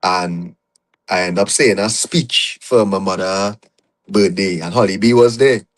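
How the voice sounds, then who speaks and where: A young man with a Trinidadian accent and a fairly low voice, talking through his journey as a guest on a podcast. He speaks in a reflective, storytelling way, peppering his thoughts with "you know". The conversation is casual and unhurried.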